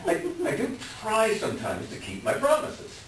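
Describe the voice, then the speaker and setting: A man playing a hapless middle-aged swindler doctor in a staged reading, pleading and self-deprecating, with a halting, wheedling delivery. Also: high-pitched, wildly swooping pitch, fairly bright tone, exaggerated voice. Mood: rueful. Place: echoey room, far from the mic. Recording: distant echoey room with hiss.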